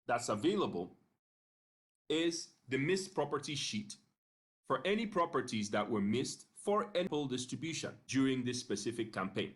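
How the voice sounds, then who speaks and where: Guy doing a software walkthrough voiceover, mid pitch, measured, short pauses between phrases. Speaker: software tutorial narrator. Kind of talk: instructional screencast narration.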